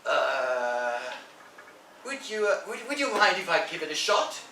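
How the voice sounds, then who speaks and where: Man voicing a boy bully: wheedling, teasing, bright and high, roomy and off-mic. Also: very animated, unhurried, slight background noise, full character voice. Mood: pleading. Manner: mocking, wheedling, loud. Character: teasing boy bully, two years older. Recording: echoey room, mic at a distance.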